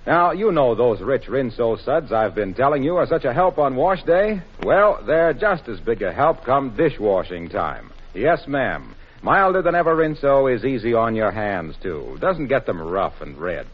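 A man announcing in scripted 1940s radio style, delivering sponsor pitches and appeals. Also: very animated, measured pace, breathy edge. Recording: muffled, worn 1940s radio recording.

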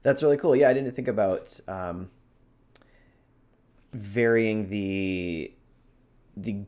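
A man's voice: fairly low pitch, takes it slowly, a little mellow. A man talking casually as a podcast host in a discussion of performance technique.

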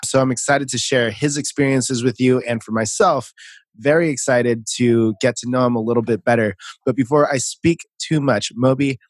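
A man's voice: mid-range voice, steady tone, normal speed, no room sound. A man hosting a casual podcast interview over a video call, upbeat and chatty, laughing easily. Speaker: podcast host.

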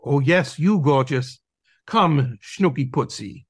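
A man's voice: mid-range voice, animated, slow, slightly rough, dry recording, clean studio sound. A man playing a domineering, mocking dog who teaches a masterclass in an audio play, brusque and commanding, sprinkling in German words. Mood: flirtatious.

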